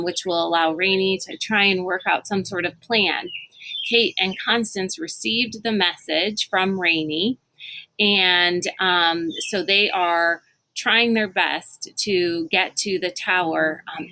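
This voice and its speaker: A woman reading a storybook aloud in an expressive, dramatic narrating style. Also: on the low side, poor audio. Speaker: reader of a read-aloud book.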